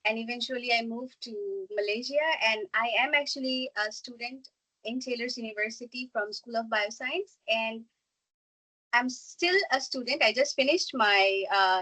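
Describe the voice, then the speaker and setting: A woman's voice: a little high, sharp tone, low-quality recording. A woman in her twenties from Bangladesh, speaking as a guest speaker on a video call in an online class. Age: 20s. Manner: chatty, apologetic about tech trouble.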